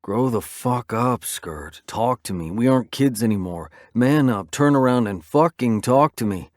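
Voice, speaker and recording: A man voicing a cocky young man who calmly goads his old friend into a fight. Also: medium pitch, unhurried, no room sound, clean studio sound, lightly acted. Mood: taunting.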